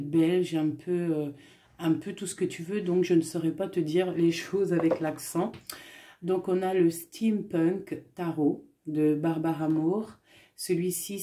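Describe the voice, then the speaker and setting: Low, mellow-voiced woman reading tarot. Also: steady tone, slow. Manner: chatty, many fillers, affectionate asides to viewers. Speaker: tarot reader. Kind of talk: casual tarot reading to camera. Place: indoors at a table with tarot cards.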